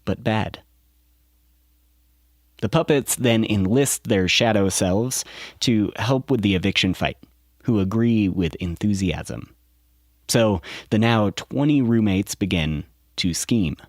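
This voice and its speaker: A man's voice: lowish voice, no room sound, studio quality. A man reading scripted documentary narration as a podcast host.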